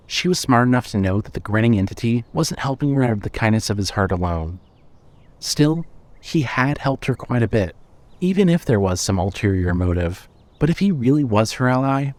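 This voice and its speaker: A man's voice: mid-range voice, a little mellow, no room sound. A man reading scripted narration for a horror fiction audio drama.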